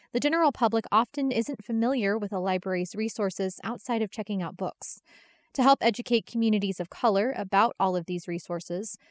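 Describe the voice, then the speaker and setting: A woman hosting a podcast, reading a measured, scripted introduction. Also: medium pitch, no echo. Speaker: podcast host.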